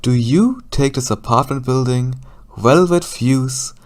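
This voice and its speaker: A man plays a priest officiating in an audio drama. He sounds theatrical and grudging, with a mid-pitched male voice. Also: takes it slowly, close to an everyday voice. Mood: ceremonial. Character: grudging, theatrical ordained priest conducting a wedding.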